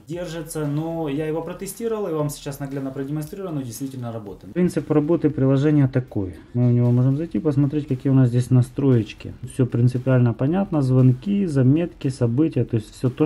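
A man's voice: mid-range voice, warm tone. A young man narrating a tutorial for viewers as a channel host, explaining things in an informal way. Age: young adult. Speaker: host of a phone tips channel.

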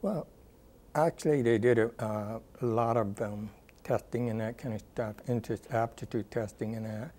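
A man in his sixties, a former rehabilitation counselor, recalling his career in a thoughtful, unhurried oral history interview, with frequent ums and uhs, recorded on a lapel mic in a dark studio. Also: mid-range voice, steady tone.

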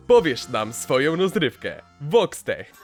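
Slick corporate showman, smug and animated, over music. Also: a little high, slow pace, full character voice. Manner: smooth salesman patter, smug. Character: slick scheming male TV-head media mogul. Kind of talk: fan dub acting. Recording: music under the voice.

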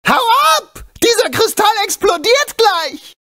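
A small, wisecracking animal sidekick from a video game. The voice sits high and jumps around with very animated intonation. The delivery is excitable, cheeky and urgent, full of exclamations. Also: male voice, measured pace, full character voice. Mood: worried, warning.

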